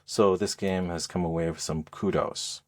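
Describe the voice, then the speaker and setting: Low voiced guy on a podcast reviewing games, casual, kinda halting as he talks. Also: fairly even intonation. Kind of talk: casual game review chat.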